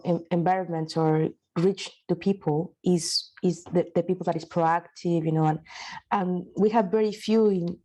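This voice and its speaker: A woman's voice: lowish voice, dark tone, rough recording. A woman from Mexico speaking in an online workshop discussion, hesitant, with many restarts and fillers. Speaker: workshop participant, university staff.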